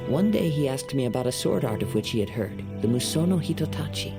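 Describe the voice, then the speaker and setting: A man playing a calm, poetic young wandering samurai in a video game, speaking in a measured, formal way. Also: medium pitch, music in the background, natural voice. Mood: wistful.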